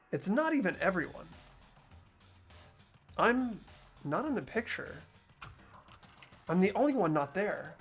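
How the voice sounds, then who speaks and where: Host voicing an anxious teen boy detective. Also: mid-range voice. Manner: earnest inner monologue, worried questioning. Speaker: host and lead voice actor. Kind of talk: fan-dubbed game narration.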